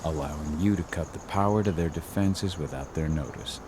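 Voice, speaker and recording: Very deep, gruff brooding warrior. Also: male voice, moderate pace, noisy background. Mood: sober. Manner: terse, serious. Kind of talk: video game cutscene acting.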